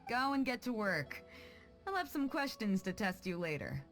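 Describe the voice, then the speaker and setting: A woman's voice: mid-range voice, sing-song, normal speed, fairly bright tone. A voice actress playing a proud, confident female sea captain with a commanding, teasing way of talking in a video game. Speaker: ship captain character. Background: background music.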